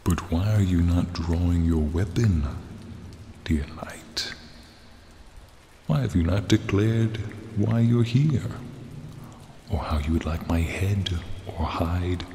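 Man voicing a deep, gravelly, courtly frost dragon. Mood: quietly menacing. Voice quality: breathy, often sinking to a whisper. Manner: slow, courtly, deliberate. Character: ancient-sounding male frost dragon, charming, courtly, menacing turned friendly. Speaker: ASMR roleplay narrator. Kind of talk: ASMR roleplay, dramatic monologue. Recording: steady rain-like ambient noise.

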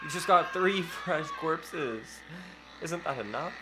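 Sassy young man, lively pitch. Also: slow, over music, rough recording. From Texas. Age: young adult. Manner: sassy, campy, swears and exclaims loudly. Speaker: co-host reacting to a film. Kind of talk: casual reaction chat. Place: home room with bookshelves, indoors.

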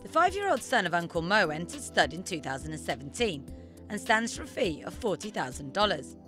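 A woman's voice: on the low side, big pitch swings, fairly quick, music in the background. A woman in her thirties presenting and narrating a scripted television feature as a reporter.

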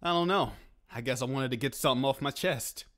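A man's voice: medium pitch, brisk, a bit bright, close to an everyday voice. A man voicing a bookish, earnest teenage boy in a comic dub cartoon, wordy and a bit preachy. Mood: matter-of-fact.

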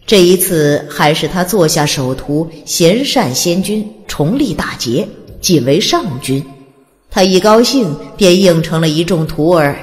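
A woman reads scripted fantasy narration as a dramatic storyteller. Her voice is deep for a woman and richly modulated, set in a long reverb over quiet music. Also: lively intonation, measured pace. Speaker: audiobook narrator. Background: soft music.

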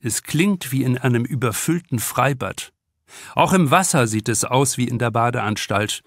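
Man, audiobook narrator, scripted storytelling, mid-pitched voice, dry recording. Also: expressive, moderate pace, no echo. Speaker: author reading own audiobook.

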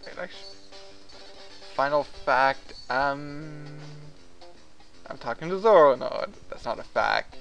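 A man's voice: medium pitch, lively intonation, slow pace, gravelly, long pauses, a bit bright, soft background music. A man whose first language is French, chatting casually in a rambling, hesitant way with lots of fillers while he plays a game. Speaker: gaming vlogger. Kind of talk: casual commentary over gameplay.

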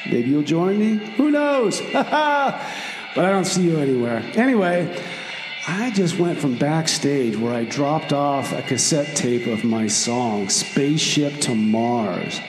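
Man playing a breezy time traveler, echoing heavily. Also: a little high. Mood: excited, breezy. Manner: upbeat, self-assured, chatty. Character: breezy, cocky male time traveler and would-be musician. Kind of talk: comedy radio theater monologue. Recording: echoey, long reverb. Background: music.

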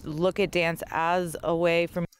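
A man's voice: on the high side, little pitch movement, measured pace, clean tone, no echo. A man speaking in a short demo audio clip.

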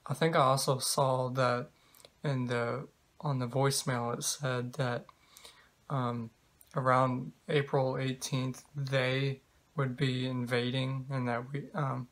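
Young man, flat halting delivery. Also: medium pitch. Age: 20s. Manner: halting, hesitant, trailing delivery. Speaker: vlogger. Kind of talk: casual rambling talk to camera. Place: small indoor bedroom.

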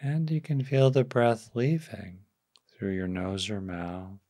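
A man leading a guided meditation as a teacher, speaking gently and softly in short phrases with long pauses. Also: on the low side, flat delivery, dry recording, studio quality.

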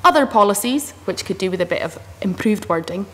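A woman in her thirties on a panel, reading a list of proposals aloud in a steady, measured way. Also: medium pitch. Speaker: panel speaker. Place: committee room in a parliament building. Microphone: desk microphone. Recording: long reverb with faint room noise.

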